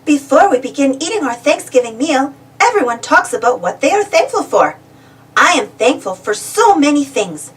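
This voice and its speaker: A woman reads a picture book aloud for small children in a high voice. Her delivery swings widely in pitch and speaks straight to the young listener. She sounds slightly away from the microphone. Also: normal speed, far from the mic. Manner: expressive, asking questions directly to young listeners. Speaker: storybook reader. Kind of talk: animated read-aloud storytelling for young children.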